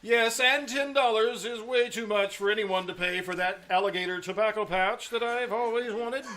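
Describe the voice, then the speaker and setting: A man's voice: high voice, normal speed, sharp tone. A man playing a pompous, falsely magnanimous school principal, slyly hinting, in 1950s radio comedy style. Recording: live stage, noticeable background noise.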